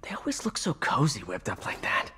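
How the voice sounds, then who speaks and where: An actor playing a young American superhero in a video game, quick-witted and earnest, often muttering wryly. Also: male voice, mid-range voice, wildly swooping pitch, heavy background noise. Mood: hushed, wary. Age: young adult.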